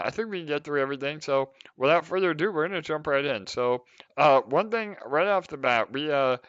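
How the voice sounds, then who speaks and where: A man's voice: mid-range voice, fairly bright tone, clear, resonant tone, no room sound. Man, host, casual livestream Q&A, lots of "uh"s, dry slightly muffled audio. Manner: chatty, many filler "uh"s. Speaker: team lead and host.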